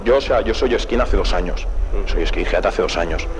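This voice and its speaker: A man's voice: sits low, moderate pace. A teenage male interviewee with a Spain accent, speaking colloquially and defiantly, repeating himself in a spontaneous interview. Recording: 1990s archive radio interview, background noise.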